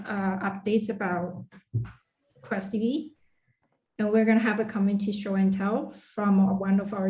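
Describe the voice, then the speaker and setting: Mellow-voiced woman hosting an online meetup. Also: mid-range voice, echoey room, rough recording. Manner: friendly, hesitant, with frequent fillers and restarts. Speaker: meetup host.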